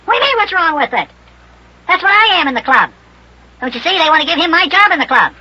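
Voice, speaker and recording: A man voicing an excitable, scheming little elf boy in an old-time children's radio serial, with a small squeaky voice and chattery boyish slang. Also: fast talker, full character voice. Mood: indignant. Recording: dull lo-fi vintage radio recording.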